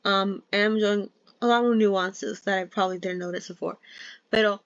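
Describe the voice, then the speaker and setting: A woman hosting a book-reading channel chats casually to her viewers, rambling, restarting her sentences and laughing at herself. Her voice is mid-pitched and everyday. The recording is close, dry and a little muffled. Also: steady tone, moderate pace, no echo. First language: not Thai. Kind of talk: casual chat to viewers before reading aloud. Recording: dull dry home recording.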